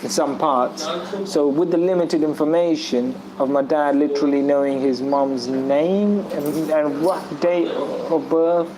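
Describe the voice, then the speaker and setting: A man in a casual group discussion, rambling through a personal story with many restarts and fillers. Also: a little high. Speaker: discussion guest. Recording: audible background noise.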